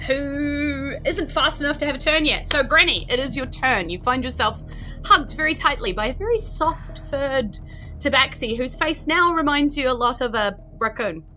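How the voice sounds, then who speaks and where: A woman narrating a tabletop game as game master in a casual, improvised, animated way. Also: high voice, moderate pace. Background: faint music.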